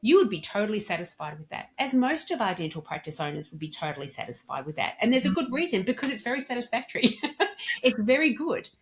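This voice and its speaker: Woman, podcast guest, communication trainer, articulate, emphatic, instructive, mid-range pitch. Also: very animated, fast, a little hiss or hum. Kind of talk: podcast interview, explaining and giving advice.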